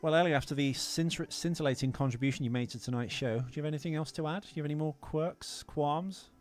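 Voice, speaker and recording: A man's voice: a little high, quick pace, a little mellow, soft background music. A man co-hosting a podcast, casually discussing films. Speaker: podcast co-host.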